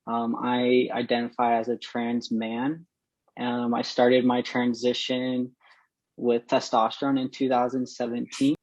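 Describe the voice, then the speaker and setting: A man of twenty-one who organized a fundraiser, speaking haltingly with many fillers in a casual interview. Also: monotone, a little mellow, no echo. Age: 20s. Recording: dull, dry low-fi recording.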